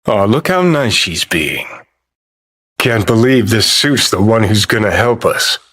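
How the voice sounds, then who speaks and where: An actor voicing a cynical, abrasive dead rock rebel in a video game, with an American accent and a sardonic, profane, contemptuous drawl. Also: male voice, on the low side, animated, unhurried, fairly bright tone, husky, full character voice. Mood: scornful, sarcastic.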